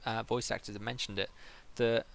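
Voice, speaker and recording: A man's voice: lowish voice, little pitch movement, normal speed, gravelly, a bit bright. A man hosting a live-streamed interview in a casual, conversational way, putting questions and addressing viewers. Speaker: interviewer and livestream host.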